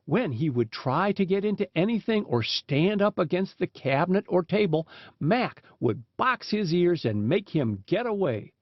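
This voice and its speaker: A man with a notably high voice narrates a storybook from a script. His pitch moves in lively swings from phrase to phrase. The recording is dry and somewhat dull, with little top end. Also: normal speed, dry recording. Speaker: audiobook narrator.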